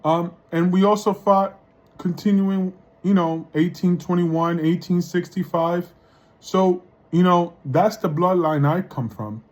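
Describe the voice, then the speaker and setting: Fairly high-voiced Dominican man talking. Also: steady tone, unhurried. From Dominican Republic. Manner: conversational, often tags lines with "right?" and restarts. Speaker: vlogger. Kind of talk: casual monologue to camera.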